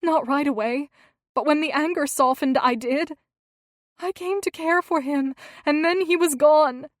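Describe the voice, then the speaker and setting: A woman's voice: fairly high pitch, normal speed, dry recording, studio quality, close to an everyday voice. A woman voicing a grieving young wife who pleads and breaks with emotion. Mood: tearful.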